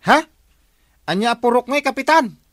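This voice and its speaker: A performer plays a scheming village watch volunteer in an Ilocano radio comedy. The mid-pitched voice asks and coaxes inquisitively in a northern Philippine accent, recorded very dry. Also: animated, moderate pace, fairly bright tone, dry recording, natural voice. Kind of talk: comedy radio drama acting.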